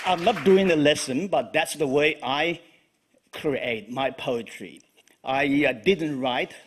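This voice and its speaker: Husky slow-speaking man with Chinese accent. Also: fairly high pitch, some chatter behind. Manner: halting, with frequent fillers. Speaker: poet. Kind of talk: live bilingual poetry reading.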